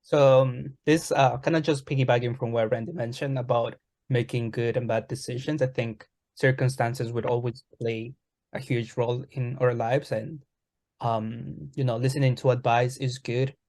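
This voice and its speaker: Male co-host, mid-range voice, relaxed and filler-heavy delivery. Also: mid-range voice, moderate pace. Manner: relaxed, many fillers like "kinda, like". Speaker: podcast co-host. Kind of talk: casual podcast chat.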